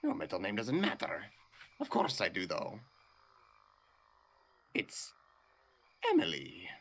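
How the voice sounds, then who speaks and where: A man voicing a small, petty game villain with mock-polite, affected manners and a creaky voice. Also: lowish voice, huge pitch swings, takes it slowly, fairly bright tone, full character voice. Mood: petty, sneering. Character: small theatrical male villain, mock-courteous, petty and sneering. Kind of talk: comedic video game voice acting.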